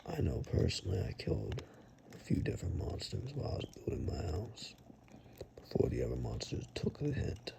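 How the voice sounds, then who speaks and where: A man playing a protective monster-hunting woodsman in an ASMR roleplay, half-whispering in a gravelly, deliberate, matter-of-fact way. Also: deep voice, wildly swooping pitch, noisy background, full character voice. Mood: grave warning. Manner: slow, deliberate, matter-of-fact reassurance with pauses.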